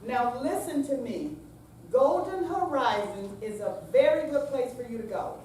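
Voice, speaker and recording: A woman playing a patient, coaxing grown daughter in a staged reading, with deliberate, read-out delivery. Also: sits high, lively intonation, darkish tone. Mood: coaxing, earnest. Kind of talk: staged readers theater reading. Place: senior center room, staged live reading. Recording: very echoey hall, distant mic, faint noise.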